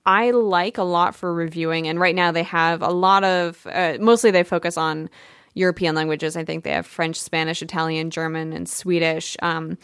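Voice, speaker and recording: Chatty female co-host, lowish pitch, loose rambling delivery, dry booth sound. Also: steady tone, normal speed, fairly bright tone, smooth, no echo. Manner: chatty, many fillers and restarts. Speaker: podcast co-host. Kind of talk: casual chat.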